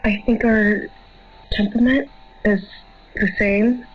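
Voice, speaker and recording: Female interviewee, 19, conversational, mid-range pitch, slightly dull lo-fi recording. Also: fairly even intonation, slow, loud music behind the voice. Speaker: interview guest. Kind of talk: casual chat. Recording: muffled, lo-fi audio.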